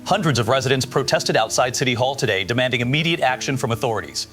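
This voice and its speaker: Male news anchor, acted for audio drama: composed, formal, brisk bulletin delivery over music. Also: mid-range voice, lively intonation, a bit bright, natural voice. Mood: composed, serious. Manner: formal, measured newsreader delivery.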